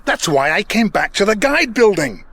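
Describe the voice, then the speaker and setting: A man playing a brash, vain alien ex-president in a comic radio drama, slangy, boastful and excitable. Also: high voice, normal speed, no room sound, heavily acted. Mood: urgent, conspiratorial.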